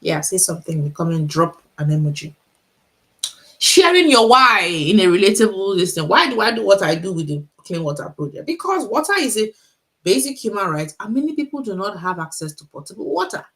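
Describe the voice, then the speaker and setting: A woman's voice: medium pitch, big pitch swings, a bit bright. A middle-aged woman with a Nigerian accent, giving an encouraging motivational talk on a livestream, repeating her key points and asking the audience rhetorical questions. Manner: speaks deliberately so listeners can follow. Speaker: author and motivational speaker.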